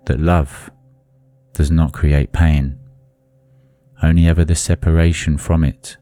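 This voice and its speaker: A man leading a guided meditation in a soothing, deliberate manner, leaving long pauses between phrases. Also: a bass voice, warm tone.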